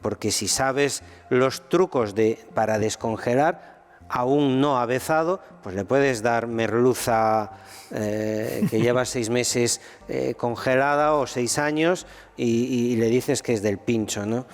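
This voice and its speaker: A man's voice: mid-range voice, slow. Hesitant man in a hall. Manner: hesitant, many restarts and fillers. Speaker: theologian guest. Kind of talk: panel discussion.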